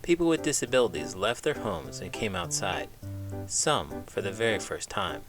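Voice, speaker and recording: A man reading a children's book aloud in an expressive, emphatic, measured narrating style. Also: on the low side, music in the background. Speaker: children's book reader, teacher.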